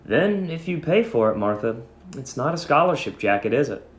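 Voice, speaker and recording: Man playing a quiet, firm old grandfather, echoey. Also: mid-range voice, very animated, normal speed, natural voice. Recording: echoey room.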